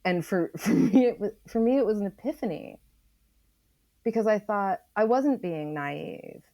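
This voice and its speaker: A woman speaking as an interview guest, earnest and reflective, deliberately repeating phrases for emphasis. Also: mid-range voice, expressive, dark tone, no echo. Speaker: interview guest, environmental writer.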